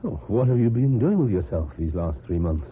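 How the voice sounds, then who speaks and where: An earnest, tender man in love in a radio drama. His pitch is low and moves freely, with some hesitancy. The recording is thin and phone-like, with noise on it. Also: big pitch swings, fairly quick. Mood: concerned. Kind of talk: radio drama acting and first-person narration. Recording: muffled narrow-band old radio recording, clear noise.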